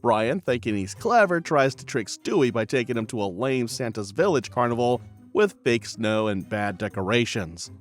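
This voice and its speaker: A man's voice: mid-range voice, animated, moderate pace, faint music behind. A man narrating a cartoon recap in a playful, chatty scripted style. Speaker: channel narrator.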